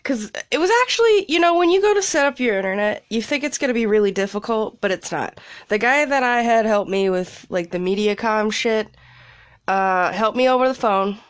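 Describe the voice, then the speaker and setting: A woman's voice: fairly high pitch, expressive, a little fast, clean tone, no room sound. A young woman with a congested cold, rambling casually as a podcast host from a small closet, laughing and swearing freely. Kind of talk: casual solo chat.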